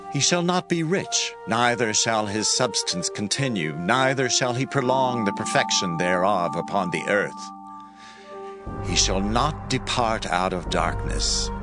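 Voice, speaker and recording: Slow, solemn prophet of doom. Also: male voice, fairly low pitch. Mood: stern, warning. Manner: measured, solemn, declamatory. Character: solemn male accuser warning of doom. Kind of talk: dramatized Bible reading. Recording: loud music over voice. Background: music.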